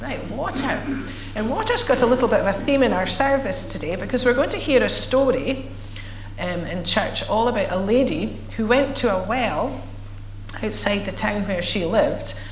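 A woman minister with a slightly croaky voice, leading a slow, measured prayer and then chatting playfully with children as she hands out water. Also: medium pitch, lively intonation, slight background noise. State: croaky, recovering voice. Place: church sanctuary. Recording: echoey church sanctuary.

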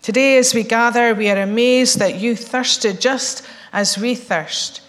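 A woman minister with a slightly croaky voice, leading a slow, measured prayer and then chatting playfully with children as she hands out water. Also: on the high side, a bit bright. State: croaky, recovering voice. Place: church sanctuary. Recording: echoey church sanctuary.

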